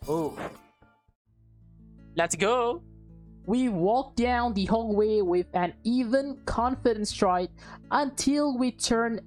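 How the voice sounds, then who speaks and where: A woman's voice: medium pitch, darkish tone. A woman gaming host reading a visual novel aloud, breaking off for chatty, self-correcting asides.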